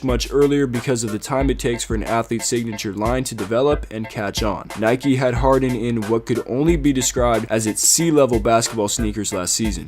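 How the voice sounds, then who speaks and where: A man narrates a scripted sports video in the role of host. His pitch sits in the middle range, and music plays behind his commentary. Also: darkish tone. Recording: music bed under the voice. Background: background music.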